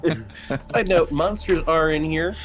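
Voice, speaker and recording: A man's voice: a little high, measured pace. A man playing a tabletop roleplaying game, chatting casually as he speaks for his character. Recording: noticeable background noise.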